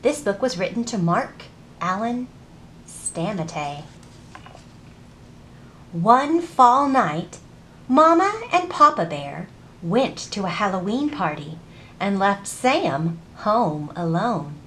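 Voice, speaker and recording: A woman in her thirties hosting a children's storytime, reading aloud and teaching in an animated, deliberate, sing-song way. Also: medium pitch, slight background noise. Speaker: children's librarian and storytime host. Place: public library children's section.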